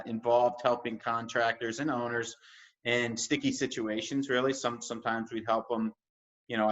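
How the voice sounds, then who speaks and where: A man's voice: mid-range voice, fairly bright tone. A man who grew up in New York, speaking as an interview guest in a casual, easygoing storytelling way. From New York, United States. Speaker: interview guest, construction analytics CEO. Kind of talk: casual conversational personal storytelling. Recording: dull, lo-fi sound.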